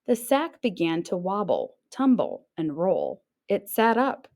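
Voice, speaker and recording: A woman narrates a children's storybook with very animated, swooping intonation. Her voice sits at a medium pitch, and she reads expressively like a teacher, playing up the little sound words. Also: a little slow. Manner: expressive, acting out sounds and exclamations. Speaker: teacher reading a picture book aloud.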